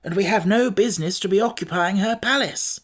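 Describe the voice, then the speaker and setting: A woman voicing a calm, wise male scarecrow who speaks quietly and thoughtfully. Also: mid-range voice, a little fast, slightly rough, pitched above the performer's own voice, lightly acted.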